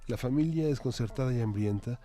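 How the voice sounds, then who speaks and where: A man's voice: mid-range voice, fairly quick, dark tone. A man reading a story aloud expressively, with theatrical phrasing.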